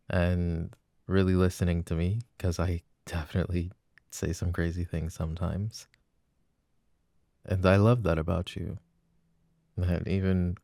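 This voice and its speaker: A man's voice: low voice, no room sound, studio quality. A man playing a jealous, insecure but devoted boyfriend in an intimate roleplay audio, speaking in a hesitant, earnest way. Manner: hesitant, earnest, soft-spoken pleading. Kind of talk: intimate boyfriend roleplay ASMR, acted monologue.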